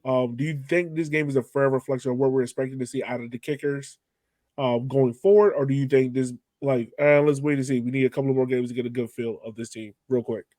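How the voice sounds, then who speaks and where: Male sports podcast host, relaxed dark timbre, conversational delivery, very dry recording. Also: mid-range voice, fast talker, no echo. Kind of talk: casual sports podcast chat.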